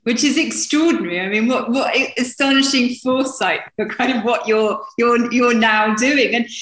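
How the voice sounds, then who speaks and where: Female interviewer, higher-pitched, gushing and conversational. Roomy, reverberant sound. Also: normal speed, a bit bright. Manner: gushing, effusive. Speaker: interview host.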